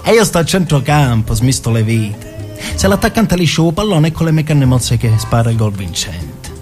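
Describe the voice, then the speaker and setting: A man's voice: medium pitch, very animated, normal speed, a little mellow, close to an everyday voice. A man playing a boastful, swaggering mafia gunman in a theatrical radio drama monologue. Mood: boastful, menacing. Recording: music behind the voice.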